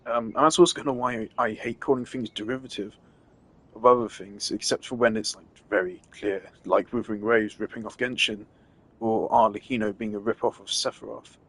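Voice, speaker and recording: A man's voice: lowish voice, steady tone, fairly quick, a bit bright, poor audio. A man giving a casual, opinionated rant on a live stream, emphatic and exasperated in the way he talks.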